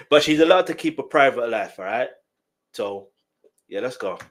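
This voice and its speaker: A man's voice: mid-range voice, fairly bright tone. A young man with a slightly nasal voice, hosting a chatty live music reaction. He keeps stopping the track to explain his point. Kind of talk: casual live reaction commentary.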